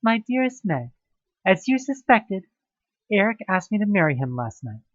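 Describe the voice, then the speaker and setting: A man's voice: sits high, big pitch swings, normal speed, no echo, lo-fi sound, pitched above the performer's own voice. A man voicing an earnest woman reading out her sorrowful, heartfelt breakup letter in an audio drama. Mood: earnest, regretful.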